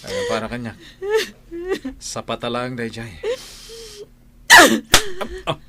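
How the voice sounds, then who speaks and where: An actor playing a troubled young husband in an audio drama, emotional and dramatic, with an Ilocano accent. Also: male voice, mid-range voice, huge pitch swings, slow, fairly bright tone, heavily acted. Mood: defiant, agitated. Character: troubled young husband, unfaithful in his heart. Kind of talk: Filipino audio drama acting.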